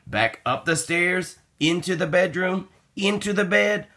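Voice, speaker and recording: A man reading a children's picture book aloud in an expressive, sing-song way with drawn-out words. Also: high voice. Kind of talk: animated children's read-aloud.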